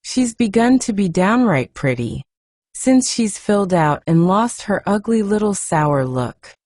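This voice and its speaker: A woman's voice: medium pitch, very animated, a little slow, a little mellow, no room sound, clean studio sound. A woman voicing an exasperated, plain-spoken housekeeper with dialect in a storybook reading. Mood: matter-of-fact. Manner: complaining, dialect-laden.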